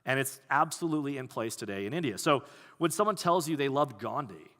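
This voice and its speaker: A man preaching a sermon as pastor, talking in a conversational, animated way. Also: medium pitch, brisk. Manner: conversational, animated, acts out quoted voices. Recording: long hall reverb.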